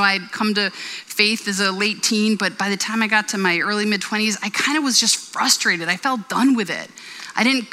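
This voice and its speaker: Bright-voiced woman preaching in a hall. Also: mid-range voice. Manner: earnest, conversational preaching with personal stories. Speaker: pastor. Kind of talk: sermon. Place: church hall. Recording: reverberant church hall.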